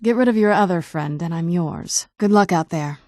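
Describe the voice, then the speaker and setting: Fantasy game companion: guarded ancient vampire noblewoman, woman actor, lowish mellow voice, wry understatement, close dry sound. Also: moderate pace, no echo, natural voice. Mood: teasing, headstrong. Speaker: adventuring companion character in a fantasy video game. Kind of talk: video game dialogue acting.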